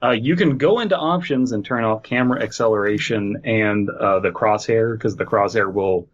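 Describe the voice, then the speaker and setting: A man's voice: fairly low pitch, moderate pace, highs cut off. A man hosting a casual video game discussion in an enthusiastic, emphatic way. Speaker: podcast host.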